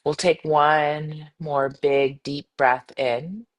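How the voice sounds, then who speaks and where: Female meditation guide, mid-to-low voice for a woman, soothing and unhurried, gentle instructions with spacious pauses. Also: fairly even intonation. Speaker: meditation guide, yoga teacher.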